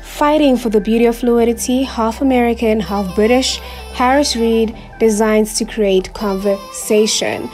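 A woman's voice: on the high side, a little slow, darkish tone. A bubbly young woman talks straight to her viewers from a small bedroom, speaking into a desk microphone. Her voice is slightly worn as she chats casually and keeps checking in with the audience. Light music sits faintly underneath. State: losing her voice. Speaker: YouTuber. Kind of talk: casual chatty vlog.

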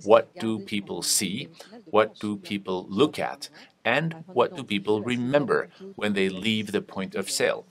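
A man giving live English interpretation of a panel discussion, halting with frequent restarts and fillers. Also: lowish voice. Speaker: simultaneous interpreter voicing panel speakers.

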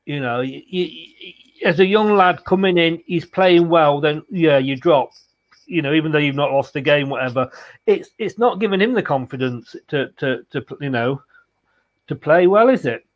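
A man in his sixties hosts a football fan chat from home. His voice is a little higher than average, and he speaks conversationally, often repeating words as he works out a thought. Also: normal speed, poor audio. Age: 60s. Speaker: fan channel host. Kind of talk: casual football post-match chat. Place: room at home, indoors. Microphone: video call, webcam.

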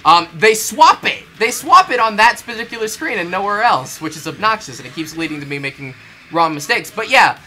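A man's voice: high-pitched, lively intonation, brisk, sharp tone, a little hiss or hum. A young man chatting casually about a video game as a show co-host, giving his opinions emphatically. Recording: music behind the voice. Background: video game music.

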